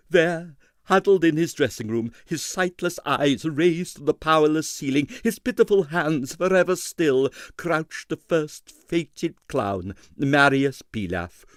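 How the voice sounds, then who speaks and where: Male narrator as melodramatic lady columnist, florid, doom-laden, wide-swinging pitch, storybook reading, dry sound. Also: dry recording. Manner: florid, theatrical, breathless with doom. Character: melodramatic sob-sister newspaper columnist, overwrought woman. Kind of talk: dramatic audiobook reading.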